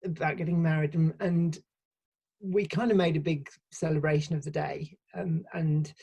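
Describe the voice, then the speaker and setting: A woman's voice: sits low, fairly even intonation, moderate pace, vocal fry, warm tone, breathy edge, dull audio. A woman from Sheffield, a podcast guest, explaining her ideas at length in a thoughtful, casual way with frequent restarts. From Sheffield, England. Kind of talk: casual conversational interview.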